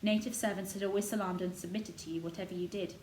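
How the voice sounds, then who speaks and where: Female storybook narrator, mid-pitched, scripted children's fiction, roomy recording. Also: fairly even intonation, fast, darkish tone. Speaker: storybook reader. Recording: roomy echo, noticeable background noise.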